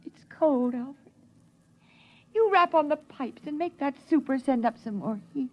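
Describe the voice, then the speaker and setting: An actress playing a frail mother longing for the lost sun, with a breathy, trembling voice, in a 1950s radio drama. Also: sits high, expressive, measured pace. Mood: wistful. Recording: dull 1950s radio broadcast.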